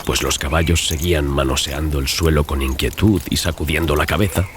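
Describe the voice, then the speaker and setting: A man narrating a gothic story as a scripted, dramatized audiobook, in a measured, literary storytelling manner. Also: extremely low pitch, fairly even intonation, heavy background noise. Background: music bed.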